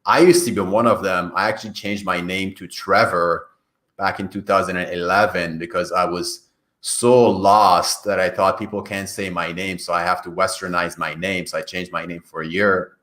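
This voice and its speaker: Low-voiced man, native Farsi speaker, echoey room. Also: steady tone, normal speed, a bit bright. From Iran. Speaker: career coach, podcast guest. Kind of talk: conversational interview answers.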